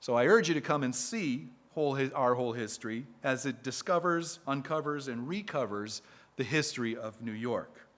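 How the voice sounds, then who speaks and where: A man reading a prepared talk to a live audience as a speaker for a historic site, measured and steady. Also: mid-range voice.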